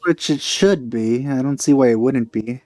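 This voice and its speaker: A man's voice: mid-range voice, moderate pace, darkish tone. A man commenting casually on a game as a let's play host, in a wry, offhand way. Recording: game music behind voice. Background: game music.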